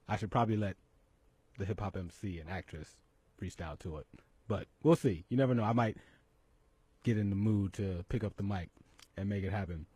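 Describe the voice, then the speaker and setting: A middle-aged man hosting a radio talk show, upbeat and quick to laugh, often repeating words as he talks. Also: medium pitch, slightly rough, dry recording, studio quality. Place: radio studio.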